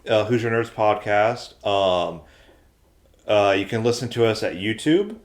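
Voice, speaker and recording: A man's voice: on the low side, expressive, measured pace. A man hosting a podcast in a casual, chatty style. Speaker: podcast host. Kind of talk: casual chat.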